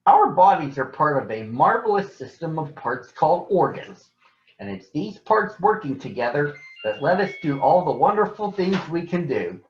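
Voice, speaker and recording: A man voicing a patient female grizzly bear doctor from a storybook, explaining things in a deliberate, encouraging way. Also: fairly high pitch, big pitch swings, slight background noise, voice pushed up. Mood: instructive. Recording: echoey room, slightly dull sound.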